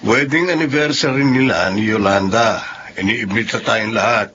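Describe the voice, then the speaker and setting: An actor with a Filipino accent playing an honest, easygoing working husband in a radio drama. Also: male voice, fairly low pitch, normal speed, fairly bright tone, lightly acted. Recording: dull, slightly noisy drama audio.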